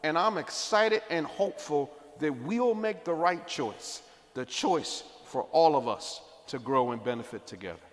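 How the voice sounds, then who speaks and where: A man on a panel speaks with a notably high voice, passionate and emphatic as he delivers a rousing closing statement. Long reverb trails his words in a large hall. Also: animated, measured pace, slight background noise. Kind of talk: rousing speech.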